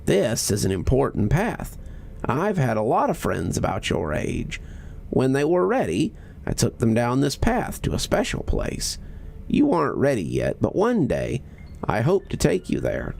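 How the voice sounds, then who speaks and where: Sinister little horror-story creature, acted by a male narrator: coaxing, insistent, falsely friendly, with lively pitch swings. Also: measured pace, gravelly, a little hiss or hum, heavily acted. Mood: falsely reassuring.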